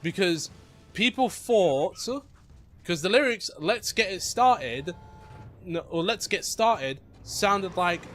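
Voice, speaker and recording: A man's voice: sits high, animated, slow pace, fairly bright tone, soft background music. A young male gamer with a voice sitting a little above the usual for men talks through his play in a loose, meandering way. He is chatty and easily amused, often trailing off mid-thought before picking the thread back up. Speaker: gamer playing a video game. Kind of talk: casual gameplay commentary.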